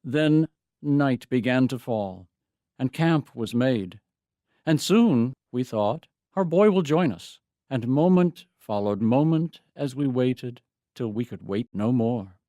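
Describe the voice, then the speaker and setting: A man performs a devoted, troubled father in a first-person poetic monologue. He speaks very slowly and deliberately, with solemn weight. The voice is soft-edged and mellow, of middling pitch, in a close, dry recording. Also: lively intonation, no room sound. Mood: anxious, heavy. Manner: solemn, measured, deliberate storytelling. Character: Saint Joseph, troubled, devoted stepfather recalling his lost boy. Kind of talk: dramatic poetry reading, first-person monologue.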